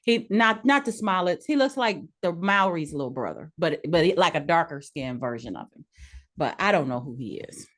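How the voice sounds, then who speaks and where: Low-voiced woman chatting frankly. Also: big pitch swings, normal speed, a bit bright. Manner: frank, opinionated, laughs easily. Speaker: podcast co-host. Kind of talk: casual chat.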